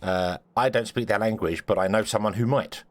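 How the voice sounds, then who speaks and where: British man, low voice, joking banter. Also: brisk. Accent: British English. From Britain. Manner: stammering restarts, joking. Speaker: co-op gameplay commentator. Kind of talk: casual gaming chat.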